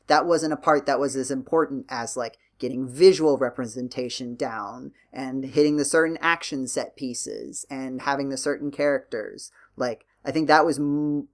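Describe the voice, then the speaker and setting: Man casually talking through ideas on a podcast. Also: mid-range voice. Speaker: podcast host.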